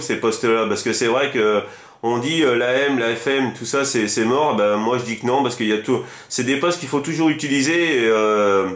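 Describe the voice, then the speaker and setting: A man's voice: medium pitch, echoey room. A man chatting casually as a radio hobbyist, rambling and enthusiastic, often repeating himself. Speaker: amateur CB radio operator.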